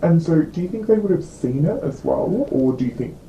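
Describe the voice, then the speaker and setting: A man conducts an HR interview in a calm, questioning manner and softly acknowledges what he hears. His voice is very dark and mellow. The room sounds echoey, with faint noise behind him. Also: on the high side, fairly quick, vocal fry.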